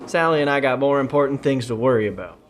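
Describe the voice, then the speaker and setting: An actor playing a cocky, dismissive teenage bowling champion, brusque and folksy, in a radio play. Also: male voice, medium pitch, expressive, fast, slight background noise, close to an everyday voice.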